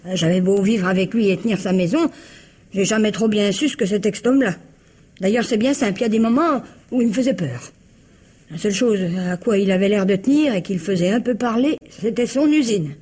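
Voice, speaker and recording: Actress as mellow, husky, wary housekeeper. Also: female voice, mid-range voice, brisk, natural voice. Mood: wary, reticent. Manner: hesitant, plain-spoken, servile. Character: wary, deferential housekeeper of a murdered man. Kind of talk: French radio mystery play acting.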